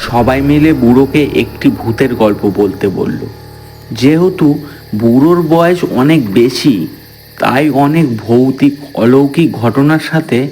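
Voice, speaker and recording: A man's voice: medium pitch, darkish tone, rough recording. A man narrating a scripted horror story for a cartoon.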